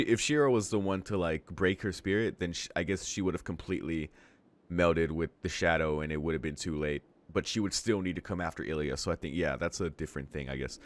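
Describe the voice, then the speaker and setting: A man hosting a visual novel read-along, switching between narrating the text aloud and casual, halting reaction commentary. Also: low voice, slight background noise. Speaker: let's-play host reading a visual novel aloud and reacting.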